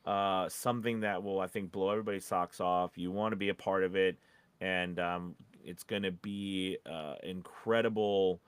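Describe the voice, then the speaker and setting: A man's voice: low voice, fairly even intonation, normal speed, smooth, no echo. A man co-hosting a casual livestream chat, speaking in an informal, enthusiastic way. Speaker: host.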